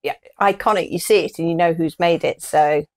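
Lower-pitched middle-aged British woman chatting. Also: brisk. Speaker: co-host of a hi-fi news show. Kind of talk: casual chat. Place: small wood-panelled room, indoors.

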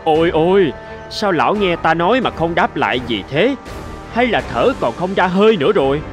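A man's voice: fairly high pitch, big pitch swings, moderate pace, over music. A man voicing a spiteful, cocky male sardine in a cartoon fable, mocking and gloating theatrically. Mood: taunting. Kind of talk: animated fable voice acting.

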